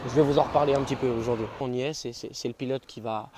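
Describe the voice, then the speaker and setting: A man's voice: mid-range voice, steady tone, rapid-fire, a crowd in the background. A man from France chatting enthusiastically about an old car outdoors, with lots of fillers and casual swearing. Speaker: car video co-host. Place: outdoors by a vintage car among vineyards.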